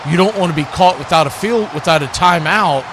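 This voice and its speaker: Male sports commentator, pitched a bit high, conversational live football calls and analysis, recorded over noticeable ambient noise. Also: fairly quick, crowd noise. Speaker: play-by-play commentator hosting a watch party stream.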